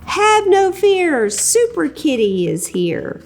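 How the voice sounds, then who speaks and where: Storybook kitten, young and cheerful, played by a woman reader, lively, unhurried. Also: extremely high pitch, background noise, voice pushed up, full character voice.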